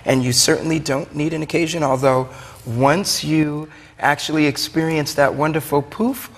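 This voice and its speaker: A man's voice: a little high, steady tone, normal speed. A man with a Brooklyn accent explaining things in a chatty, enthusiastic, conversational way as an interview guest. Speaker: wine shop owner, interview guest. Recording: long hollow room reverb.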